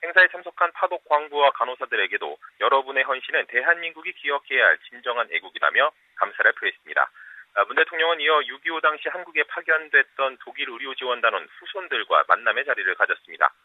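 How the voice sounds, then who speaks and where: A man reading a news report in a steady broadcast style. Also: medium pitch, fast, dry recording. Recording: tinny phone-like broadcast audio.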